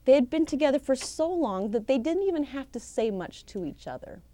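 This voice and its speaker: A woman telling a folktale live as a professional storyteller, expressive and theatrical, with deliberate pauses and emphasis. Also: high-pitched. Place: live storytelling session at a conference.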